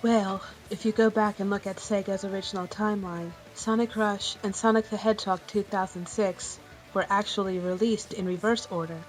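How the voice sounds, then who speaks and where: A woman's voice: medium pitch, fairly even intonation, moderate pace, soft background music, natural voice, low-quality recording. A woman voicing a stern, easily irritated female cat character in a fan-made cartoon question show. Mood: composed.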